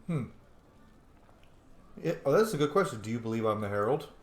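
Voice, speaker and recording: A man's voice: mid-range voice, expressive, brisk, a little hiss or hum. A man chatting loosely over his playthrough from an echoey room, the kind of informal host heard on a long roleplay series. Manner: rambling, informal, sweary. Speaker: gaming video host. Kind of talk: casual let's play commentary and roleplay. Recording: roomy echo, game music behind. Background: video game music.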